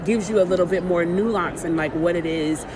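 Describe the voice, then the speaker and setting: A woman being interviewed as an author, explaining her points step by step in a casual, measured way. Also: on the low side. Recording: noisy on-location recording, ambient background sound.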